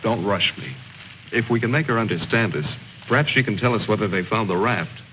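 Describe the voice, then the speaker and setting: A composed army lieutenant from an old war film, giving orders with articulate, condescending authority. His pitch moves expressively, and the soundtrack is dull with clear background noise. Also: expressive, fairly quick, pushed and bright. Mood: stern, impatient. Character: composed, authoritative male army lieutenant leading stranded soldiers.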